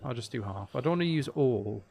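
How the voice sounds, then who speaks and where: A man with a middling pitch and a noticeably creaky, fry-edged voice. He talks casually as a live game streamer, tossing playful, teasing banter to his chat. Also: expressive, fairly quick, dark tone. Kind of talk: casual gaming livestream chat.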